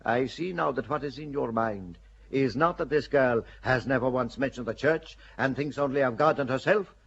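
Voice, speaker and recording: Male actor: calculating bishop, husky, measured and persuasive, muffled radio audio. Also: mid-range voice. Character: shrewd older churchman, a bishop, calculating.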